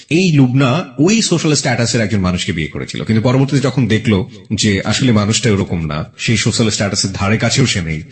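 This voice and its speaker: A man with a Bangladeshi accent hosting a radio interview, speaking analytically to guest and listeners and mixing in English phrases. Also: medium pitch. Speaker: radio show host and interviewer.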